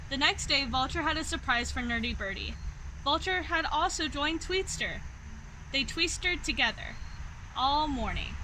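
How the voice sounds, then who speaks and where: A woman narrates a picture book for young children in an expressive storytelling style. Her voice is high and bright. Clear noise sits behind the reading. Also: normal speed, low-quality recording. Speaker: read-aloud storyteller.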